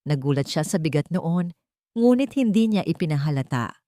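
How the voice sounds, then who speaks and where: A woman narrates a scripted romance story in a fairly low voice. Her intonation rises and falls expressively, and the recording is dry and close. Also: expressive, moderate pace, mellow, no echo.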